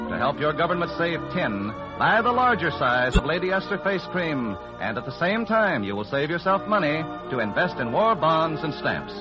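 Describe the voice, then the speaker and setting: Give me an American male 1940s radio announcer, fairly high-voiced and lively, on a dull old recording with music behind him.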